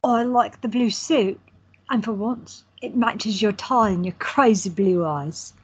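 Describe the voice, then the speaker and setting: A woman playing a quiet, teasing photographer in her twenties, trading dry banter with her brother. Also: mid-range voice, measured pace, breathy edge. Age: 20s. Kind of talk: amateur audio drama acting. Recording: dull lo-fi audio.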